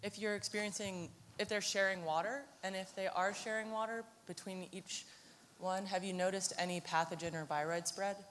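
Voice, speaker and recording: A woman's voice: medium pitch, fairly even intonation, brisk, a bit bright, a little hiss or hum. A woman in the audience asking a question, hesitant and repeating herself. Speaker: audience member. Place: conference room. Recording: distant, in a hall.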